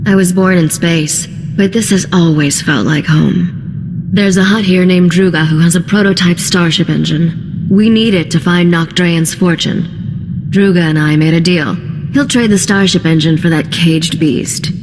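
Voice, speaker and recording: A woman's voice: on the low side, a little slow, a little mellow, big-room sound. A woman playing a confident, wry female smuggler contact in a scripted game cutscene. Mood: confident, briefing.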